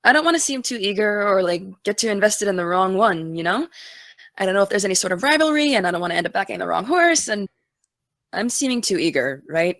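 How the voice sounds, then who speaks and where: A woman's voice: mid-range voice, big pitch swings, fast, fairly bright tone, no echo. A woman playing a driven, distracted college-bound young woman in an audio drama, speaking casually and wryly. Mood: busy, chatty. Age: young adult. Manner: casual, wry. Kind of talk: naturalistic audio drama dialogue.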